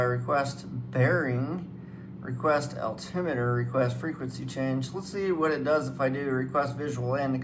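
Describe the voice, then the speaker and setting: A man giving casual hands-on commentary as a flight simulator guide, rambling and thinking aloud. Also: medium pitch. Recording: clearly noisy room background.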